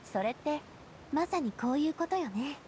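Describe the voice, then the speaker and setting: アニメ調ゲームで、穏やかで茶目っ気のある若い女性リーダーを演じる女性。くだけた女性らしい口調で真摯に話す。. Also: female voice, sits high, lively intonation, moderate pace, slight background noise. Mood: wistful, determined. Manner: casual, feminine, softly earnest. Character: bright, resilient young woman leader, gentle and playful. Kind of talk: Japanese anime game voice acting.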